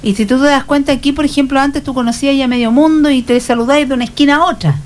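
A woman's voice: high voice, fairly even intonation, fast talker. A woman with a Chilean accent in casual conversation, chatty and given to acting out little everyday exchanges to make her point. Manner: chatty, illustrates points with acted-out everyday dialogues.

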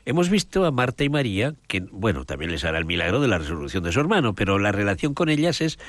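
A man, a priest speaking in a low voice with a lively intonation, talks through Gospel stories at an unhurried pace. He often loses his thread, searches aloud for names and checks in with his listener. The sound is close and dry. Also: no room sound. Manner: rambling, searching for names, frequent "¿m?" check-ins. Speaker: priest and chaplain guest. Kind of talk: relaxed radio-style conversation on the Gospel.

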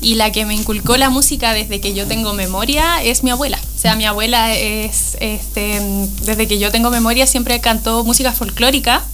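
A woman, a guest singer answering questions in a radio interview in a soft, grateful, hesitant way. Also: on the high side, normal speed, hardly any pauses, faint music behind. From Chile. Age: 20s.

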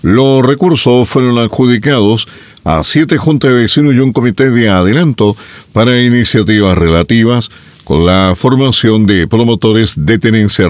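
A man's voice: fairly low pitch, dry recording. A man with a Chilean accent presenting the local morning news on radio, reading items and adding folksy, chatty asides.

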